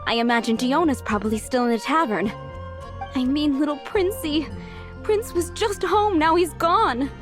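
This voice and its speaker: A woman's voice: high voice, moderate pace, over music, natural voice. A woman playing a chatty, pushy young restaurant woman asking favours in a video game. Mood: worried.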